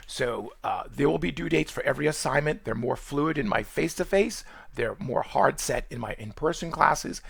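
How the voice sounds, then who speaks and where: A man from Boston with a Boston accent, giving a college course orientation in quick, rambling speech full of fillers and restarts. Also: mid-range voice, gravelly, fairly bright tone, husky, dry recording. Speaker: college nutrition instructor. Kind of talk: screen-recorded course orientation.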